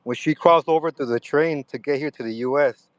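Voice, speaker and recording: A man's voice: a little high, fairly quick, no echo. A man in his twenties from the United States, calling in to a live chat and talking in an eager, slangy way. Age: 20s. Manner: eager, slangy, says bro a lot. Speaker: caller. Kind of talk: casual chat.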